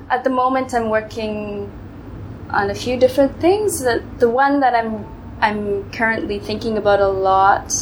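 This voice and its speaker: A woman's voice: mid-range voice, a little hiss or hum, low-quality recording. A woman from Canada, a choreographer and dancer, talking reflectively in a casual interview. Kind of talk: casual conversation.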